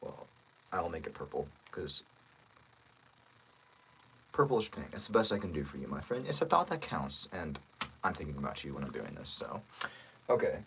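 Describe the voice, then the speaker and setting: A man's voice: very deep voice, big pitch swings, normal speed, pauses often, fairly bright tone, a little hiss or hum, poor audio. A man chatting casually and friendly with viewers as a voice actor on a livestream, thinking aloud as he goes.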